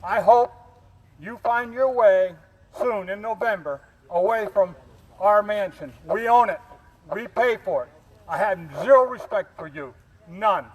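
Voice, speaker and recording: High-pitched defiant man on a bullhorn. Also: a little slow. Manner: defiant, confrontational. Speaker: protest speaker. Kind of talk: angry protest speech through a bullhorn. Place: outdoors in rain at a street protest. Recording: noisy outdoor street recording, bullhorn.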